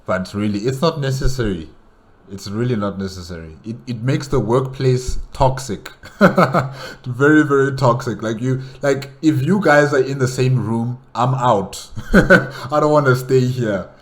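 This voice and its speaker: A man's voice: medium pitch, measured pace. A man hosting a reaction video, thinking aloud in casual solo commentary.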